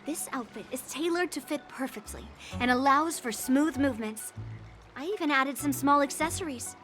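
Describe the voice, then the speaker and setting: A woman's voice: high-pitched, normal speed, natural voice. An actress voicing a proud, competitive young swordswoman in a game, with a haughty, blunt way of talking. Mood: smug. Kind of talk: game cutscene acting. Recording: prominent music behind the voice.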